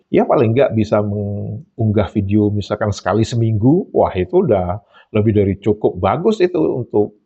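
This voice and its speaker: A man's voice: fairly low pitch, husky, narrow, tinny audio. A man giving solo commentary, emphatic and mocking, often repeating phrases for effect. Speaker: YouTube commentator.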